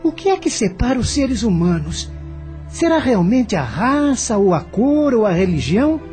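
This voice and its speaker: A woman's voice: fairly high pitch, sing-song, normal speed, dark tone, faint music behind. A woman playing an earnest, idealistic teenage schoolboy who thinks aloud and questions things, in a radio drama. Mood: pensive.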